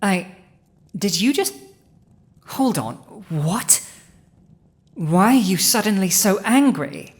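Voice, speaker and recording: A woman's voice: mid-range voice, lively intonation. A woman playing a proud villainous dragon who has seized a human throne, speaking formal, archaic lines in a scripted audio roleplay. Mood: startled, offended. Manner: formal archaic diction, haughty, deliberate.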